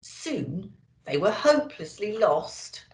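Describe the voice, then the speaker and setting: A woman telling stories to children in an expressive, theatrical, sing-song way. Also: mid-range voice, slow, roomy sound, low-quality recording. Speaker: children's storyteller presenting as an elf.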